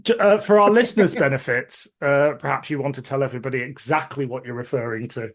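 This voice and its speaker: A man speaking as a podcast guest in casual discussion, measured and thoughtful. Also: mid-range voice.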